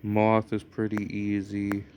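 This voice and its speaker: Slow, rambling young American man. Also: on the low side, completely flat delivery, background noise. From United States. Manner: rambling, hesitant, many fillers. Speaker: gameplay commentator. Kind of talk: casual gameplay commentary.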